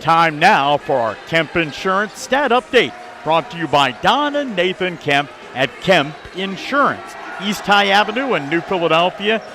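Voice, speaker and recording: A man's voice: high voice, sing-song, unhurried, few pauses. A man hosting a halftime report on a sports broadcast, moving between recap and sponsor reads. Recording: noisy gymnasium broadcast. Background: basketball gym ambience.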